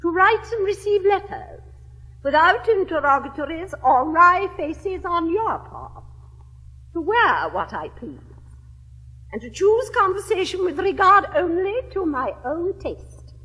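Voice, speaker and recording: A woman's voice: extremely high pitch, big pitch swings, unhurried, sharp tone, big-room sound, exaggerated voice, rough recording. An English actress in her seventies playing a witty, capricious young lady of fashion, with an affected, swooping theatrical drawl. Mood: imperious. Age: 70s. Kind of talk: 1960s classic stage recording.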